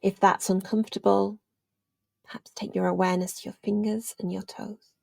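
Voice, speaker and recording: A woman speaks as a meditation guide, slow and soothing with long pauses. Her voice is husky and very creaky, often fading toward a whisper. The sound is close and dry. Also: medium pitch, level tone, dry recording. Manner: gentle, soothing, unhurried with long pauses, trailing into near-whisper. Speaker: meditation guide, yoga teacher.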